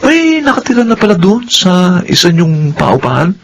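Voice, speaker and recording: Eager, swooping Filipino young bachelor. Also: male voice, sits high, normal speed, slight background noise. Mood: nosy. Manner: excitable, cheeky. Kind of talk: Filipino radio drama acting.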